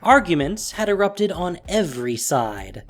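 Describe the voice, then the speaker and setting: A man's voice: high voice, sing-song, unhurried. A man narrating a scripted audio drama story with theatrical phrasing and emphatic stresses. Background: music.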